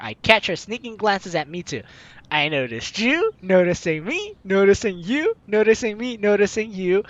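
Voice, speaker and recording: A woman's voice: medium pitch, a bit bright, no room sound, muffled recording. A young woman reading a visual novel aloud as a gaming host, with theatrical, teasing asides. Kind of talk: animated let's-play read-aloud and commentary.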